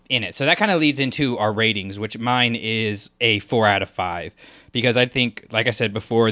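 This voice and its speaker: Guy co-hosting a podcast, kinda bright tone, chatty and quick. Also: on the low side. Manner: quick, chatty, full of fillers. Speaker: podcast co-host. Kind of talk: casual podcast discussion.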